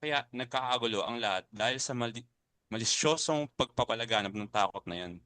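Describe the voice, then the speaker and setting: A man's voice: mid-range voice, fairly quick, pushed and bright, no echo, lightly acted. A man with a Filipino accent playing a principled, compassionate club uncle in a dramatic reading. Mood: earnest, firm. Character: principled older club uncle urging compassion over fear.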